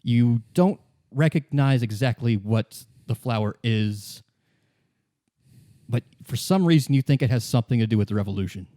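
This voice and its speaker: A man narrating an improvised tabletop game as game master, in a halting, conversational way. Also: mid-range voice, expressive, warm tone, dry recording, clean studio sound.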